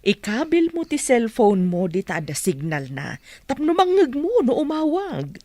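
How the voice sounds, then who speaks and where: A woman's voice: fairly high pitch, sing-song, moderate pace, mellow, no room sound. A woman playing a scheming, meddling middle-aged village mother in an Ilocano radio comedy drama, with an Ilocano accent.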